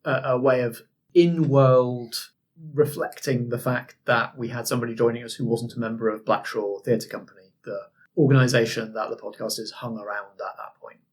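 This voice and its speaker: A man explaining his work as a game master in casual conversation, halting and measured as he picks his words. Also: medium pitch, fairly even intonation, breathy edge. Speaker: game master and podcast guest.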